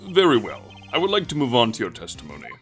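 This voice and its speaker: A man's voice: mid-range voice, huge pitch swings, quick pace. A man voicing a solemn, slightly befuddled old judge with a formal, ponderous manner in a video game fan dub. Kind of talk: video game character acting, courtroom formal. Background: quiet game music.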